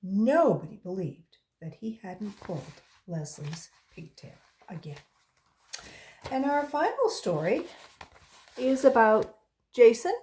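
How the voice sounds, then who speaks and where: Woman, volunteer children's story reader. Slow pace, animated pitch, emphatic storytelling. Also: slight background noise. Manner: acts out dialogue with emphasis. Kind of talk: expressive read-aloud for children.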